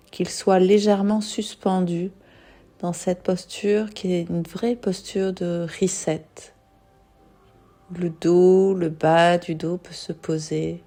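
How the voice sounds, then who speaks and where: Lady doing guided relaxation, lowish soothing voice, music playing behind her. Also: mellow. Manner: soothing, unhurried, with long pauses. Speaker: yoga nidra guide. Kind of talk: guided meditation.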